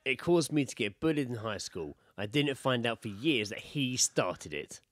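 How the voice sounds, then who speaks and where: British bloke podcast host, mid-pitched voice, jokey and sweary, casual chat, no room sound. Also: male voice, expressive, normal speed, fairly bright tone, no echo. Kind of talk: casual banter, reading out listener rumours.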